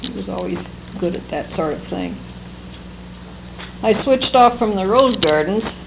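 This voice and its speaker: An older American woman with a creaky, somewhat low voice shares memories in an unhurried, halting manner. She sounds like a longtime resident being interviewed for oral history. The amateur recording carries clear background noise. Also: animated. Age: older, seventies or above. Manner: unhurried, halting, fond recollection.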